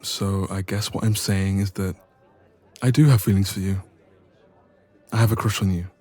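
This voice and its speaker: Man acting as affectionate young male best friend for romance audio roleplay; dark, relaxed, lowish voice, hesitant, intimate, gently teasing. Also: normal speed. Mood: nervous, tender.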